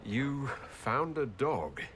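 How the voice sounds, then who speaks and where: A wry young noble hero for a fantasy game, polite and gently teasing. The voice is mid-pitched with lively movement, over faint background noise. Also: male voice, extremely slow. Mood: warm, reflective. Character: courteous, wry young male human noble leader. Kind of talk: video game cutscene acting.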